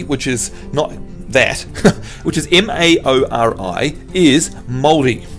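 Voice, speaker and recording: A man's voice: fairly high pitch, a bit bright. A man from New Zealand chatting casually with his live-stream viewers, playful and teasing as he quizzes them. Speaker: game streamer.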